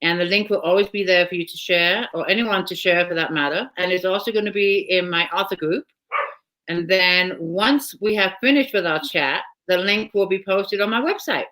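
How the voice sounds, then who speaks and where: A woman's voice: lowish voice, moderate pace, a bit bright, low-quality recording. A woman with a British accent hosting a casual live author chat from home, talking chattily and laughing often. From Britain. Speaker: host.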